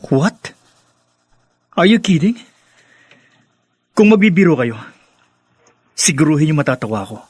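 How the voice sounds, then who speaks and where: A man's voice: on the high side, big pitch swings, a little slow, long pauses, a little mellow, husky, no echo, full character voice. A man playing a defiant grown son in a radio drama, bitter, sarcastic and confrontational. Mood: angry, scornful. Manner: sarcastic, confrontational, switches into English. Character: bitter, defiant grown son rejecting his new stepmother.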